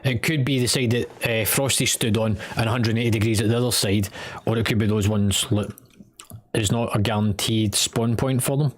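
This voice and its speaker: A man's voice: on the low side, fairly even intonation, fairly quick. Scottish man leading an online game raid. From Scotland. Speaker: raid leader and streamer. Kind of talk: instructing teammates during online game.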